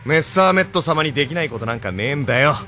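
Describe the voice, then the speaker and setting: Man playing a cocky, animated young rebel pilot. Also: on the high side, very fast, natural voice. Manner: rough, boastful slangy speech. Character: cocky, brash, hot-tempered young male rebel pilot. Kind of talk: Japanese anime audio drama acting.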